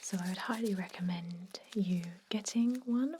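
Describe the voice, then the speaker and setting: A woman playing a calm, attentive vampire dentist in an ASMR roleplay, speaking in a soft breathy whisper with gentle explanations. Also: medium pitch, noisy background, close to an everyday voice. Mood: calm, informative. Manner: slow, gentle, explanatory with pauses. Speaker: ASMR performer.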